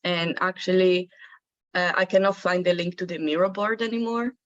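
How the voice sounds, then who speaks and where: A woman's voice: lowish voice, steady tone, moderate pace. A woman with an Italian accent introducing herself casually on a call, with many fillers. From Italy. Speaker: archaeologist and molecular biologist. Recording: dull lo-fi call audio.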